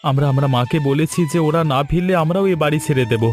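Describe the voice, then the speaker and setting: A man acts out a young village husband in a cartoon family drama. His voice sits on the high side for a man, halting and full of remorse as he pleads. Faint music plays behind him. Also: lightly acted. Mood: remorseful, pleading. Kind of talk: Bengali cartoon story dialogue.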